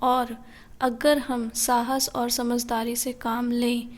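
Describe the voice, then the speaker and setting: A woman's voice: a little high, little pitch movement, slow. A woman narrating a scripted story for children as a storyteller.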